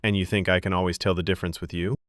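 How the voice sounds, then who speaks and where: Young male lead, arrogant, intense, possessive, low-leaning voice, podcast drama a man narrating acts him. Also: level tone, racing, no echo, studio quality, lightly acted. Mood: cocky, challenging.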